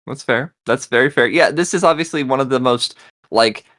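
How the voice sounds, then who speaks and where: A man in a casual podcast chat, talkative and quick to laugh. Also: mid-range voice, fairly bright tone, no echo, studio quality. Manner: chatty, laughs easily. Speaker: podcast co-host.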